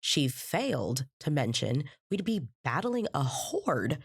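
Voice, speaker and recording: An actress playing an earnest young trainee, narrating her story in the first person in a measured, descriptive way. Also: low-pitched, sing-song, slightly rough, full character voice. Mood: earnest, uneasy.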